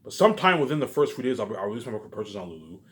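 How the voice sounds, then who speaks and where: A very quick-talking man with a slightly low voice rambles informally, like a vlogger thinking aloud. He hits phrases hard, then drops into muttered tags, often repeating and stumbling over his own words. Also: sing-song, fairly bright tone. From Canada. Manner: repetitive, halting, with habitual trailing tags; emphatic, profane bursts dropping to muttered asides.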